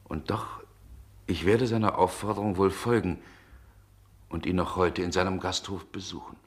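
An actor playing a reserved, irritable gentleman with a measured, formal and direct manner in an old radio play. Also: deep voice, steady tone, close to an everyday voice. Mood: brooding, confiding. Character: reserved, irritable gentleman, former lover, probing. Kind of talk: 1960 German radio play acting. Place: radio drama studio.

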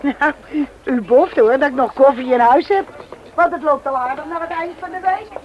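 Actress as high-pitched grudging housewife, muffled. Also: female voice, normal speed, slight background noise, lightly acted. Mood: brisk, grudging. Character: brisk, grudging working-class housewife serving coffee. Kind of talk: Dutch radio play acting. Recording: muffled vintage radio recording.

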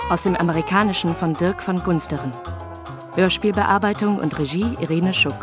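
A woman's voice: on the low side, normal speed. A woman announcing the title and credits of a radio drama in a scripted style. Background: prominent brass music.

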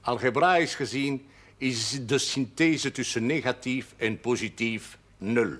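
Husky, formal elderly parish priest. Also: male voice, medium pitch, fairly bright tone. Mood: firm, authoritative. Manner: measured, formal speech sprinkled with French phrases. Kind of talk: 1970s television drama acting.

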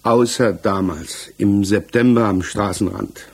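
Accused villager, low-pitched and a little rough, subdued and evasive, halting replies in 1960s German radio-play style. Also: male voice. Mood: defensive. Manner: halting, short guarded answers. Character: male accused villager under interrogation, subdued, evasive, uneasy. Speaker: actor in a radio crime drama.

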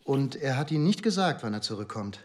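A man's voice: medium pitch, very animated, fairly quick, very noisy, lightly acted. A man playing a formal, insistent police inspector who questions in a clipped way in a radio crime drama. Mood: probing, formal.

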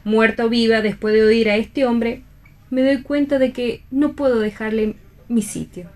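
A woman's voice: fairly high pitch, normal speed, a little mellow, close to an everyday voice. A woman acting a proud, possessive rival back from death in a play reading for radio, assertive and declamatory. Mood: defiant.

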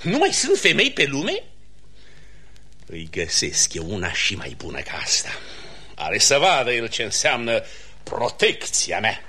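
A pompous, blustering nobleman of classic radio comedy. His voice is husky and bright, and it swoops dramatically across a very wide range with haughty, exclamatory delivery. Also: medium pitch, heavily acted. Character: pompous meddling count, blustering, easily offended nobleman.

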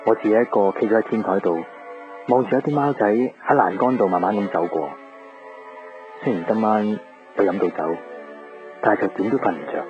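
A low-pitched, lovelorn young man played by an actor narrates his thoughts in a radio drama. He is brooding, introspective and restrained, heard on a dull recording over music. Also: a little fast, long pauses, lightly acted. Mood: brooding, longing.

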